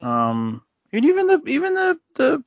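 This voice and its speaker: A woman's voice: sits high, huge pitch swings, normal speed, no echo, highs cut off. Hesitant woman chatting on a podcast. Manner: hesitant, searching for words, many fillers. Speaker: podcast co-host. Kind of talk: casual chat.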